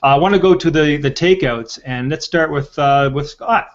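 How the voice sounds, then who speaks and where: A man's voice: a little high, normal speed. A man in his sixties hosting a casual panel, hesitating often, over a lo-fi video call. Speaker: host of a panel video show. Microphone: headset on a video call. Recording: dull lo-fi video call audio.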